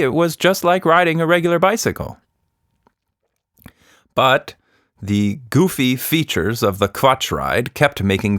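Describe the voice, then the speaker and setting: A man narrating a scripted children's story in an expressive, dramatic storytelling manner. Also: on the low side, no echo, studio quality.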